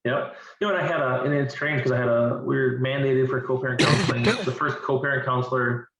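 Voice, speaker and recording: A man's voice: mid-range voice, fairly even intonation, fast talker, a bit bright, a little hiss or hum. Earnest man in his forties, guest, restarts his sentences; far from the mic in a dull, echoey room. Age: 40s. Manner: earnest, repeats words while thinking. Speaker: interview guest. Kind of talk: candid conversational interview. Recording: distant, roomy and dull-sounding.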